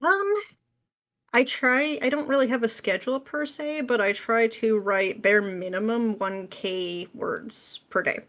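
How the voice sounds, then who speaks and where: A woman's voice: fairly high pitch, unhurried, fairly bright tone. A woman chatting casually as an interview guest, answering questions in an informal, self-deprecating way. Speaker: interview guest, script writer.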